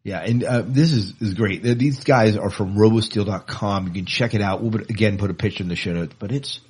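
Low, husky-voiced man co-hosting a podcast. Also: brisk, a little mellow. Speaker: podcast co-host. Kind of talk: casual chat, reading odd news items.

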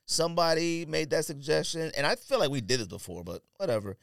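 A man's voice: on the high side, animated, brisk, gravelly, a bit bright, smooth, no echo, studio quality. A man co-hosting a podcast, chatting casually in a slangy, offhand way about his picks. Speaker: podcast co-host. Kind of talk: casual sneaker and sports podcast banter.

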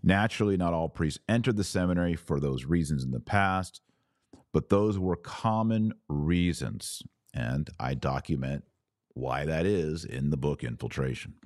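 A man's voice: rumbling low, lively intonation, moderate pace, a little mellow, no echo, clean studio sound. A man hosting a podcast, giving news commentary as a monologue with emphatic phrasing and informal asides.

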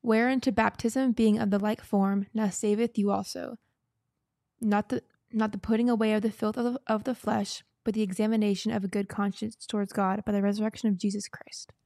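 A woman's voice: mid-range voice, flat delivery, fairly quick, warm tone, no room sound, studio quality. A young woman co-hosting a podcast, chatting casually and reading out questions. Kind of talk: casual chat.

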